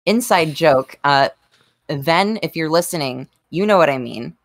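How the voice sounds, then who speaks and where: Low-voiced woman in casual chat. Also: animated, unhurried, dry recording, studio quality. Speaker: podcast panelist.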